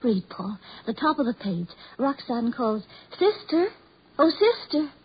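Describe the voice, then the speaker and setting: An actress playing a tender, devoted blind woman, earnest and melodramatic in 1940s radio drama style, with a husky voice. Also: sits high, big pitch swings, a little slow, exaggerated voice. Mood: tender, coaxing. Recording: muffled old 1940s radio recording.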